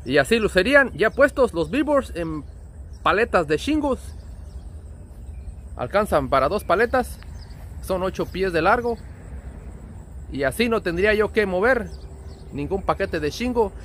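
A man with a Mexican accent and a notably high, lively voice talks casually in a trucker's vlog. His delivery is friendly and full of slang and diminutives, explaining things step by step over faint outdoor vehicle noise. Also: a little slow, pauses often. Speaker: trucker vlogger.

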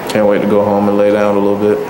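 A young man answering postgame questions at a table microphone, soft-spoken and laid-back, with many fillers. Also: on the low side, completely flat delivery, racing. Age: young adult. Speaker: college football player. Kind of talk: postgame press conference answers. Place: press conference room. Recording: noisy press room audio.

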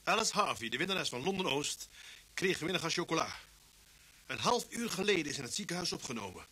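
An actor playing a brisk, authoritative male police chief inspector in a Dutch radio drama. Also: on the high side, sing-song, pushed and bright, slightly rough, exaggerated voice. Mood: urgent. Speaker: actor and narrator in radio serial. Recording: noisy old radio play recording.

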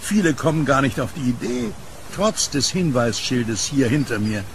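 A friendly blind news seller in a radio play, gravelly, very up and down melody. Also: male voice, medium pitch, sing-song, normal speed, slight background noise. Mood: calm, candid. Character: blind newspaper seller, war veteran, gentle and easygoing. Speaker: kiosk newspaper vendor. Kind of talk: audio drama acting.

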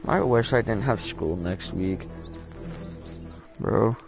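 A deep-voiced teenage boy chatting casually with a friend while playing a game, laid-back and swearing a lot. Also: takes it slowly, gravelly, dull audio, over music. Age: teen. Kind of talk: casual gaming chat.

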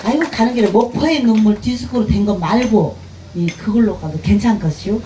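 A woman's voice: mid-range voice, a little fast, warm tone. A middle-aged woman from Korea's Jeolla region with a strong Jeolla accent, a festival performer chatting and teasing between songs on a handheld mic. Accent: Jeolla Korean dialect. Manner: chatty, teasing, earthy, bantering with audience. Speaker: pumba street performer and singer. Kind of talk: live stage banter between songs. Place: outdoor festival stage with loudspeakers. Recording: echoey outdoor PA sound with music.

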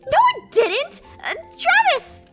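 A woman voicing a shy, excitable high school freshman girl with a girlish, giggly, hesitant delivery in an animated roleplay. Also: takes it slowly, music in the background, exaggerated voice. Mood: indignant.

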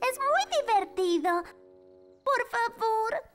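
A woman voicing a friendly, persuasive young girl in an animated series, expressive and coaxing, with a Latin American Spanish accent. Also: very high voice, slow, exaggerated voice. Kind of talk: animated children's cartoon dubbing. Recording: music behind the voice.

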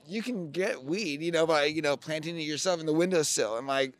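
A man's voice: fairly high pitch, brisk. An American man interviewing someone outdoors in a casual, chatty way on a handheld microphone. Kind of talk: casual chat. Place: outdoors on a festival lawn. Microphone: handheld microphone, face covered by cloth.

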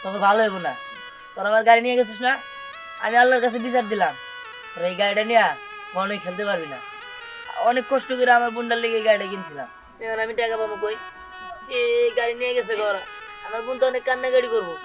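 A woman's voice: a little high, fast, long pauses, music in the background, lightly acted. A woman playing a protective, hardworking brother, plaintive and indignant, in an amateur comic drama. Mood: plaintive, aggrieved.

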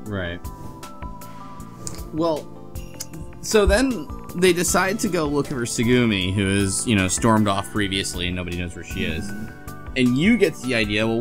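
Man recapping casually over soft music. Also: mid-range voice. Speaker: podcast co-host. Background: faint instrumental music.

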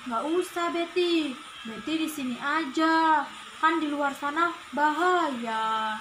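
Children's puppet show: protective young monkey, woman performer, high, slow, childlike, noisy recording. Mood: caring. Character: kind, helpful young monkey, protective friend.

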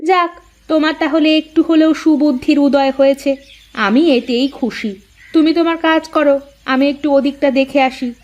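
Casting: high-voiced village wife played by a woman, sharp-tongued, sarcastic, forever scolding, for a cartoon folk tale. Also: fairly even intonation, moderate pace, close to an everyday voice. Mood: grudging. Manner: scolding, sarcastic, colloquial rural speech.

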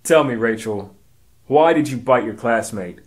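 A man's voice: mid-range voice, expressive, a little slow, pitched below the performer's own voice, close to an everyday voice. A man playing a self-important, stern investigator questioning schoolchildren with melodrama in a comedic radio drama. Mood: stern, pompous.

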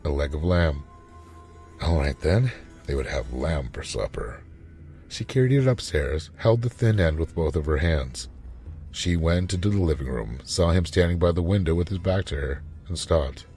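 A man narrating a scripted story in a measured, dramatic manner. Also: a bass voice, lively intonation, mellow, faint music behind.

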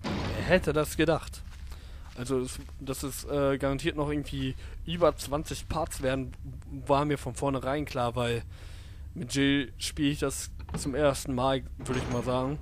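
A man's voice: mid-range voice, fairly even intonation, normal speed, noisy background. A man giving casual, jokey commentary while playing a video game, talking to the characters on screen. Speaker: let's play commentator. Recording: game music behind the voice. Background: game music.

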